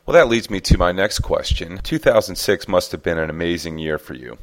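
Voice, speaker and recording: A man hosting a podcast, asking scripted interview questions in a smooth, welcoming way. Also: deep voice, animated, brisk. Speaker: podcast host.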